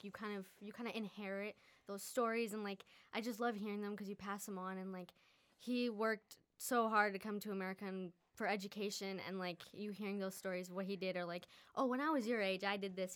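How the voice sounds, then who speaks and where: A teenage girl, a student guest on a school podcast, talking in a nervous, halting way with many fillers. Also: mid-range voice, steady tone, a bit bright, clear, resonant tone. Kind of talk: casual podcast chat.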